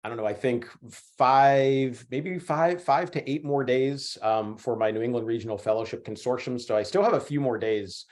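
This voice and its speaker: A hoarse man in his forties with a chest cold, a history professor giving a casual online lecture with many fillers and restarts. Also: mid-range voice, normal speed, few pauses, darkish tone. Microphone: computer mic on a video call.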